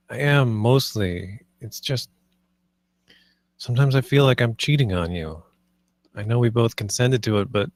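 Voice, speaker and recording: Guy reading as conflicted young man, hesitant n earnest, mid pitch, dry sound, table read. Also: no echo, close to an everyday voice. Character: conflicted, guilt-ridden young man confiding in his partner.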